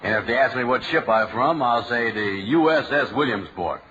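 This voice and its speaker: A man's voice: mid-range voice, lively intonation, bright, forceful tone. A mopey, girl-crazy broke fellow. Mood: scheming. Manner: slow, mopey, easily tempted. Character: lonely, girl-crazy, broke middle-aged man. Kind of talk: 1940s radio comedy acting. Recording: muffled old radio broadcast with hiss.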